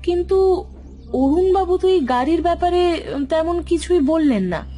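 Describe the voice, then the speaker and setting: Woman acting high-voiced, expressive detective's wife. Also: moderate pace, soft background music. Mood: curious. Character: detective's wife and partner, teasing, attentive woman. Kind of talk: Bengali audio detective drama acting.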